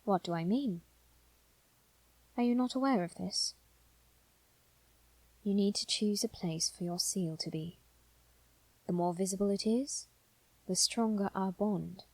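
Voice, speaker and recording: A woman playing a calm, probing male demon with quiet intensity, speaking softly and slowly in a scripted ASMR roleplay. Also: medium pitch, no echo, poor audio. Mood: calm, solemn. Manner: soft, slow, deliberate, dipping toward whisper.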